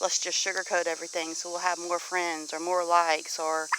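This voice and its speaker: A woman's voice: fairly low pitch, level tone, moderate pace. A woman with a Southern US accent chatting casually in a plainspoken, folksy way, as a homestead vlogger talking to viewers. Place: outdoors on a farm among animals. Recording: outdoor farm noise, animals and insects. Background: faint animal and insect sounds.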